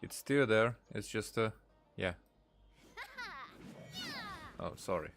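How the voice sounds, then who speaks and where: Deeper-voiced man, casual gameplay stream, hesitant thinking aloud, soft music behind. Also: takes it slowly, creaky. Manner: musing, hesitant. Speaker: game streamer. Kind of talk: casual live gameplay commentary.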